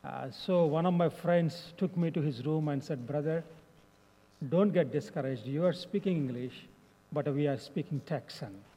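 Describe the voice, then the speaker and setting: A young man with an Indian accent gives a mission update to a church, pausing often on fillers. His voice is mellow and sits a little high, and it rings out with a long hall reverb. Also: a little hiss or hum. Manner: halting, frequent fillers. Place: church sanctuary.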